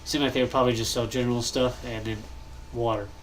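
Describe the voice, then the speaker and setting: A young man with a stuffy, nasal voice, chatting casually and thinking aloud while he builds in a game, pausing often to cough or clear his throat. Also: mid-range voice, fairly even intonation, slight background noise, low-quality recording. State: congested, nasal. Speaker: gaming channel host.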